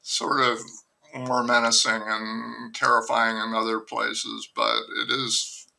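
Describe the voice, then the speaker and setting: An older man, a novelist guest, talking in a rambling, wry way with many fillers and restarts in a relaxed podcast conversation. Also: medium pitch, takes it slowly, creaky, low-quality recording.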